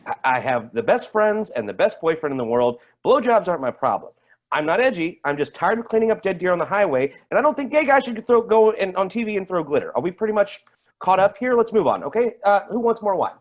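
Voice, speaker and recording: Man acting as edgy highway patrolman, rapid stammering speech, animated pitch swings, foul-mouthed, stage drama. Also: muffled recording. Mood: irritated ranting. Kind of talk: staged play reading.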